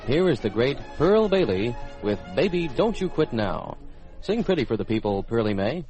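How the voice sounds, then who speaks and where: A man's voice: mid-range voice, big pitch swings, normal speed, music in the background, low-quality recording. A man with an American accent making scripted military radio announcements in a formal, polished announcer's delivery. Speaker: radio program host and announcer.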